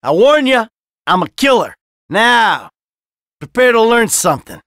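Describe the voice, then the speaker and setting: An actor with an American accent playing a jaded, hot-tempered middle-aged criminal in a video game, sardonic, profane and exasperated. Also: male voice, extremely high pitch, big pitch swings, measured pace, a bit bright, no echo, clean studio sound, exaggerated voice. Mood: smug, taunting.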